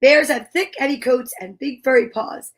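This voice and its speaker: A woman's voice: high voice, normal speed. A woman hosting a live children's story time, reading aloud and chatting to viewers with playful, encouraging exclamations. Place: at home.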